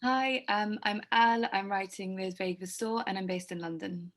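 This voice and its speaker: A woman's voice: mid-range voice, steady tone, moderate pace, fairly bright tone. A woman in her twenties, a journalist, asking casual interview questions on a video call. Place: indoor room, video call.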